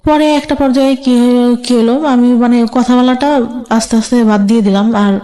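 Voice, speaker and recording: A woman with a Bangladeshi accent shares a personal story as a guest. Her voice sits fairly high yet has a very soft, mellow tone. She talks colloquially, replaying exchanges as she goes. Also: fairly even intonation, normal speed, low-quality recording. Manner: recounts quoted exchanges in colloquial speech.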